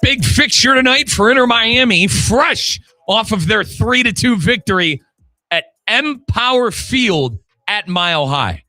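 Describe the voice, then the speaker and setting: Bright, punchy American sports radio man. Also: high-pitched, expressive, a little slow, dry recording. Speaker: sports radio show host and play-by-play announcer. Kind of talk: energetic sports radio hosting. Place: radio studio.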